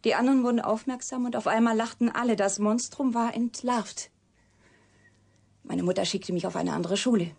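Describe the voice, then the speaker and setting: An actress playing a reclusive, wounded young woman scientist in a radio drama, guarded yet confiding, speaking breathily and deliberately, often sinking to a whisper. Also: medium pitch, a little mellow, natural voice. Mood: bitter, wounded. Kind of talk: German radio drama acting.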